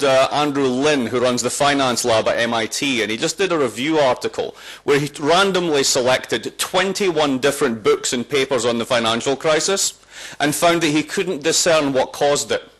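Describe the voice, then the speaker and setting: A middle-aged man with a Scottish accent giving a lively, wry academic lecture in a hall, wandering away from the lectern microphone as he talks. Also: medium pitch. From Scotland. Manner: lively, wry, conversational, walks around while talking. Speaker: guest lecturer. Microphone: lectern microphone, moving away from it.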